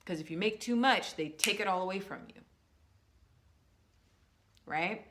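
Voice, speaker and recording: A woman talking to her viewers in a casual, rambling monologue, slowing down to stress words and restarting her sentences often. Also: mid-range voice, a bit bright. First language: English. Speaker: vlogger.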